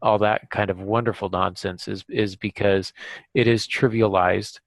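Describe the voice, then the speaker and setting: A man hosting a podcast, talking reflectively in a halting, thoughtful way. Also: on the low side, steady tone, no room sound. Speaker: podcast host and author.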